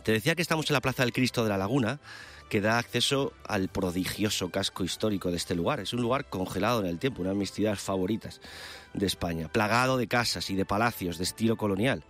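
A man's voice: on the low side, quick pace, a bit bright. A man presenting a live radio news chronicle in a descriptive, eloquent manner. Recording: music bed under the voice. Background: background music.

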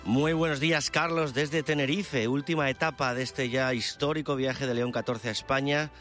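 A man delivers a radio chronicle. His pitch is average and his phrasing is eloquent and descriptive. Faint music plays behind him. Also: steady tone, moderate pace, fairly bright tone. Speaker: radio news presenter. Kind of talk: live radio chronicle, partly scripted. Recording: music bed under the voice. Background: background music.

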